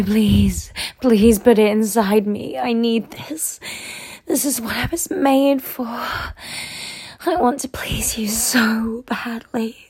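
A woman's voice: on the high side, darkish tone, husky. A young woman acting in an adult audio roleplay, playing a submissive character who pleads and often drops into a whisper.

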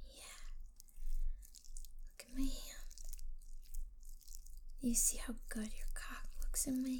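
A young adult woman whispering an intimate roleplay, teasing and commanding, with soft hums between phrases. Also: a little high, breathy edge.

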